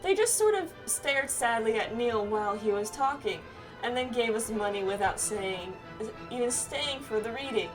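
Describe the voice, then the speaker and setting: A woman playing a game with friends, reading its dialogue aloud casually and haltingly, with reactions of her own. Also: a little high, lively intonation, low-quality recording. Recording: game music behind the voice. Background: video game music.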